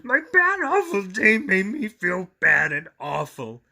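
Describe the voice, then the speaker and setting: A high-pitched little storybook monster boy, plaintive and whiny. The voice swings wildly up and down, with a bright, creaky edge. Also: huge pitch swings, unhurried, heavily acted. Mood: miserable, tearful. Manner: plaintive, exaggerated complaining.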